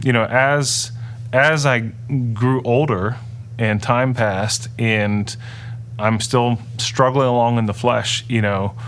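A middle-aged man, a native Dutch speaker, telling his personal story in an earnest, halting way with many restarts. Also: mid-range voice, fairly bright tone, slight background noise. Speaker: interview guest. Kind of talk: personal testimony in conversation.

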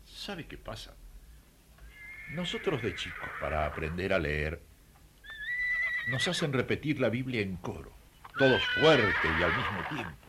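A man's voice: lowish voice, sing-song, a little slow, pauses often, very noisy. A man playing a humble, devout ancient Judean traveler in a radio play, hesitant, respectful and earnest.

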